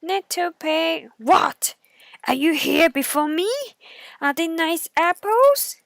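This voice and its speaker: A woman voicing a sly, menacing male wolf in a bedtime story, with a coaxing, drawn-out, forceful delivery. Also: very high-pitched, no room sound, clean studio sound, voice pushed up, exaggerated voice. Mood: eager, menacing.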